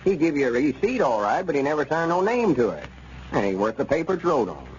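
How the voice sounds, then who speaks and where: A man's voice: on the high side, very animated, fast talker. A man playing an exasperated country storekeeper with a rural Arkansas accent in radio comedy, drawling and sarcastic. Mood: sarcastic scolding. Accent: Southern US, rural Arkansas. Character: exasperated, scolding rural male storekeeper Lum. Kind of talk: 1930s radio comedy acting. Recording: muffled, noisy 1930s radio recording.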